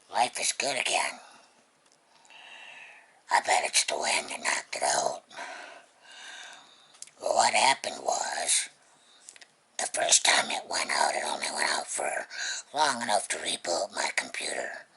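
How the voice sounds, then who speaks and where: A vlogger with a very husky, breathy, gravelly voice that often drops near a whisper, chatting casually and rambling along at home. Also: very deep voice, sing-song, takes it slowly, pauses often, sharp tone. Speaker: vlogger, host of the channel. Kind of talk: casual unboxing vlog chat. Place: indoors at home.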